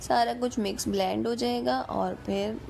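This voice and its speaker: A woman's voice: on the high side, fairly even intonation, moderate pace, creaky, a little mellow, a little hiss or hum. A woman with a Pakistani accent giving a chatty, informal recipe voice-over as a home cook.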